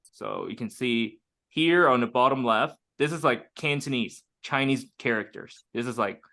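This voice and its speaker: A man's voice: medium pitch, normal speed, gravelly, pushed and bright. A man from Hong Kong in his thirties, teaching an online English group lesson over a video call, chatty and full of fillers and restarts.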